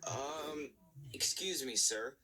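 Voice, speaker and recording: A man voicing a polite, weary male steam engine who speaks softly and hesitantly. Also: medium pitch, slow pace, noisy background, pitched above the performer's own voice, lightly acted.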